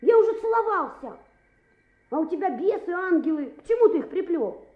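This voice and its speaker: Schoolboy in love, high and very lively, boastful; muffled radio-play sound. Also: female voice, fairly quick. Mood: indignant. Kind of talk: Soviet-style radio play acting. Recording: muffled, echoey old radio play with noise.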